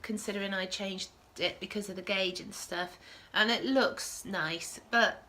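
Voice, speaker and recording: A woman's voice: mid-range voice, a little slow, bright, forceful tone. A woman hosting a casual knitting podcast from home, sniffling with a starting cold and rambling with frequent self-corrections. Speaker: podcast host.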